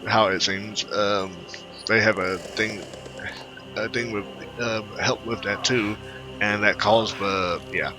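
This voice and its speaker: A man giving casual, rambling game commentary, halting and repeating his words as he goes. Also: fairly low pitch, fairly even intonation. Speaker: gaming video host. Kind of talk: casual game commentary and reading quest text aloud. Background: video game music.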